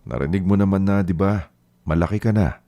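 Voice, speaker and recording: An actor playing a gentle, apologetic middle-aged father who has fallen ill, speaking earnestly. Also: low voice, brisk, muffled recording. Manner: earnest, pleading. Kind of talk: radio drama acting.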